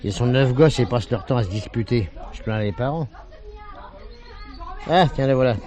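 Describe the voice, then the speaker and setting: A man's voice: mid-range voice, moderate pace, darkish tone, lightly acted. An actor playing a dutiful male gendarme sergeant in a French radio drama, formal, respectful and a little hesitant. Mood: matter-of-fact.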